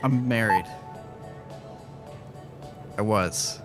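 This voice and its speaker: Withdrawn widower, music behind. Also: male voice, lowish voice, very slow. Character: grieving, withdrawn, prickly widower scientist. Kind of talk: naturalistic audio drama acting.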